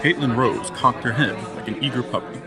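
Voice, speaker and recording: A man's voice: medium pitch, brisk, loud music behind the voice. A man narrating a scripted gothic audio drama in a florid, dramatic manner.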